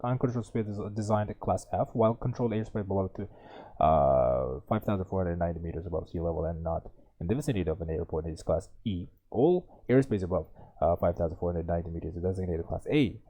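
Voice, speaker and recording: A man's voice: deep voice, expressive, fast talker, dark tone, slightly rough. A young man tutoring, reading an exam passage aloud with many stumbles and self-corrections, then thinking through the answers. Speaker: IELTS tutor.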